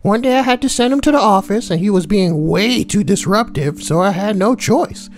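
A woman's voice: medium pitch, animated, moderate pace, a little mellow. A woman reading forum posts aloud and adding crude, sweary, joking commentary. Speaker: online narrator and commentator.

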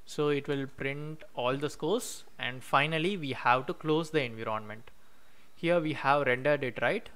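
Male tutorial host with a smooth, clear mid-pitched voice, narrating code at a desk with typing behind. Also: a bit bright. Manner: explains step by step while typing code, many "uh" pauses. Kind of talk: screen-recorded coding walkthrough. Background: keyboard typing.

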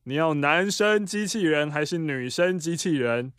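A man's voice: a little high, lively intonation, moderate pace, a bit bright, clear, resonant tone, no room sound, studio quality. A man with a Taiwanese Mandarin accent doing improvised radio comedy, teasing and needling his partner mischievously.

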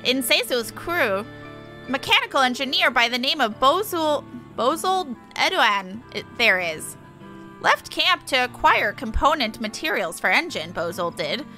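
A zealous bird-man played by a woman declaims in a high, bright voice. The delivery is earnest and proud, with odd inverted phrasing, like a quest giver in a fantasy game. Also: big pitch swings, a little slow, faint music behind, exaggerated voice. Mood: concerned. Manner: inverted word order, declamatory, squawking.

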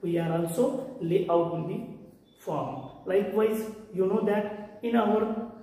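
A man's voice: sits high, slow pace, mellow, far from the mic. Indian man lecturing in echoey room. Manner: repetitive explaining, gesturing with hands. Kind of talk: classroom lecture. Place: room with whiteboard. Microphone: far camera.

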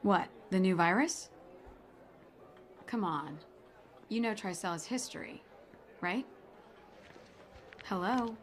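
A woman's voice: medium pitch, lively intonation, slow pace, pauses often, a little hiss or hum. A woman playing a confident, protective young woman in a naturalistic, direct, wry game performance. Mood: casual. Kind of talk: naturalistic video game acting.

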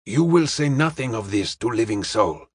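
Man acting a slow, deliberate detective. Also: mid-range voice, animated, breathy edge, no echo, clean studio sound. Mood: polite, firm. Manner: slow, deliberate, formally polite, drops articles. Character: courteous, serene Chinese detective, clipped deliberate speech.

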